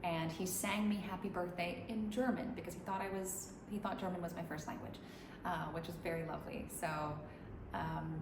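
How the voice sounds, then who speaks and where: A woman's voice: lowish voice, fairly even intonation, a little fast. A woman in a casual chat, giving long rambling answers with many restarts. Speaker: musician interviewee. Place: room in Dubai. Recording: echoey room with background noise.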